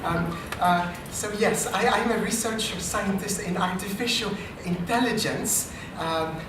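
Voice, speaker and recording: Slow-talking French-accented man, echoey and distant. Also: sits high, noisy background. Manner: dry, self-deprecating, with halting restarts. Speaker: AI researcher and improv performer. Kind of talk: live comedy stage talk. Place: live comedy meetup venue. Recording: distant, very echoey live venue.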